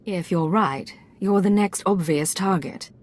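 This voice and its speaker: An actress playing a cool, dutiful Imperial agent in a video game, clipped, controlled and businesslike. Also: female voice, medium pitch, unhurried, close to an everyday voice. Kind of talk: scripted game dialogue.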